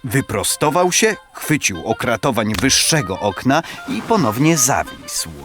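A man narrating an audio drama as a storyteller, with measured, expressive literary delivery. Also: mid-range voice. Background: background music.

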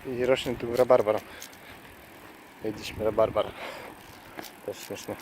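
A man giving casual, halting travel vlog commentary outdoors, often searching for his words. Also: lowish voice, level tone, low-quality recording. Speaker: travel vlogger. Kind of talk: casual vlog commentary while showing sights. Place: outdoors by a lake and stream. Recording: loud wind and water noise outdoors. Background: wind, water noise.

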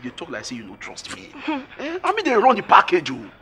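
A man with a Nigerian accent playing a smooth-talking travel scam agent in a comedy skit. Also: sits high, huge pitch swings, fast, background noise. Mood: coaxing. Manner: persuasive, coaxing.

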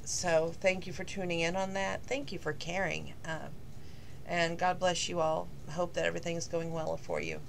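Slightly hoarse chatty woman. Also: fairly low pitch, level tone, moderate pace, a little hiss or hum. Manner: rambling, chatty, with many fillers. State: slightly hoarse, losing her voice. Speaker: vlogger. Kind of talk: casual talking-to-camera vlog.

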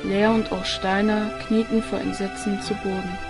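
A woman narrates an audio drama from a script. Her mid-pitched voice stays very even, and loud music plays beneath it. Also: normal speed.

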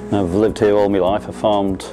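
A man's voice: on the low side, level tone, normal speed, mellow. An English man in his sixties, a farmer giving an interview testimonial. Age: 60s. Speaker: dairy farmer. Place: old farm building. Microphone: lapel. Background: guitar music.

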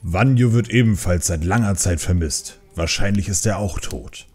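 A man voicing an easygoing but firm old panda brewer uncle in a fantasy audiobook, calm and plain-spoken. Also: sits low, normal speed, slightly rough, soft background music. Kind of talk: acted fantasy audiobook dialogue.

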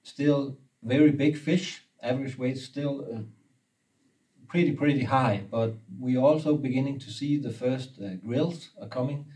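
A fishing guide in his forties whose first language is Danish, explaining steadily with pauses, recorded indoors from a few feet away. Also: mid-range voice, dark tone, recorded from a distance, low-quality recording. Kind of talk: casual interview. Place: indoor room with brick wall and river map.

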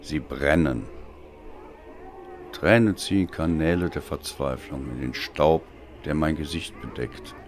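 A man reading a dark story as a dramatic narrator, in a brooding, deliberate and theatrical manner. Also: rumbling low, noisy background. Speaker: audiobook narrator.

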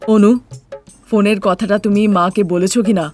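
A woman's voice: medium pitch, fairly even intonation, normal speed, a little mellow, faint music behind, natural voice. A woman voicing an indignant young husband in a cartoon story, protesting insistently. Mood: questioning. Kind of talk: Bengali cartoon story acting.

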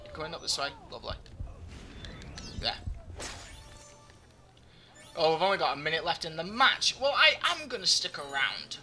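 A man's voice: a little high, very animated, normal speed, long pauses, bright, forceful tone, soft background music. A young man giving live gameplay commentary, chatty and joking, his voice slightly groggy. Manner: chatty, joking, talks to himself while playing. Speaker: gaming commentator.

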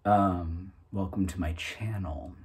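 A man in his thirties hosting his own channel, talking casually and a little self-consciously in a halting, informal way. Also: sits low, steady tone, a little mellow, breathy edge. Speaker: host of a reading channel. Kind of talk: casual, unscripted chat to camera.

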